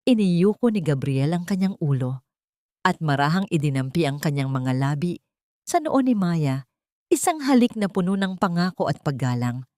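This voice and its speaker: A woman with a Filipino accent narrating a scripted dramatic story in a measured, sentimental way. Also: low-pitched, sing-song, mellow, dry recording, studio quality.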